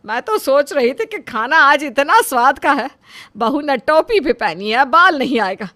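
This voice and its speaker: A woman acting a bright, nagging mother-in-law. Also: high-pitched, lively intonation, unhurried, full character voice. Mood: exasperated. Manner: scolding, exclamatory.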